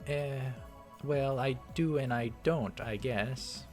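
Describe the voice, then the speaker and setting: Breezy, teasing young visual novel girl read by a man, raised pitch, casual halting delivery, soft music behind. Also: close to an everyday voice.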